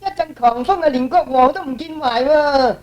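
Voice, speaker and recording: A man playing an excitable, clownish male pig demon disciple in Cantonese radio drama style. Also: extremely high pitch, moderate pace, lo-fi sound, pitched above the performer's own voice. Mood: anxious.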